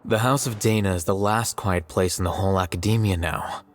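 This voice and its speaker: An actor playing an aloof, blunt young scholar in a video game, deadpan, matter-of-fact and dismissive. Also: male voice, low-pitched, a little fast, slight background noise, natural voice. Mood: dry, dismissive. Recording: faint music behind the voice.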